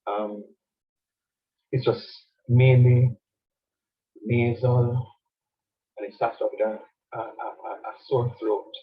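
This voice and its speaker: A man lectures a class with a stuffy, nasal voice from a cold, his tone slightly husky. He repeats key phrases and keeps asking whether the students follow him. The sound is a dull, lo-fi classroom recording. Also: mid-range voice, little pitch movement, takes it slowly, pauses often. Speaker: university lecturer.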